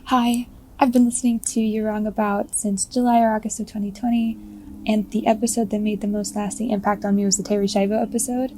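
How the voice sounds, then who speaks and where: Earnest woman with a dark, even voice. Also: medium pitch, fairly quick, a little hiss or hum, low-quality recording. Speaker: listener sending a message.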